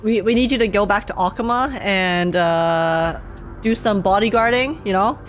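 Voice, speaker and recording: A woman's voice: mid-range voice, normal speed. A woman streaming a game and reacting casually to the story, playful and exclamatory, full of slang. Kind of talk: casual live reaction commentary. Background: game music.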